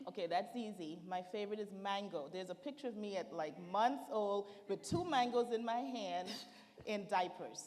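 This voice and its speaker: A woman from the Bahamas with a lilting Bahamian accent, a surgeon speaking on a panel in a measured, deliberate way. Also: mid-range voice, darkish tone. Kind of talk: panel discussion. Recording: reverberant hall sound.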